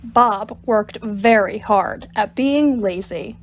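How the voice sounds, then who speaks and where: A woman reading picture books aloud to children as a storytime reader, in an animated, deliberate storytelling manner. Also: medium pitch, a little hiss or hum. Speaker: storytime reader at a public library. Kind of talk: expressive picture-book read-aloud for children.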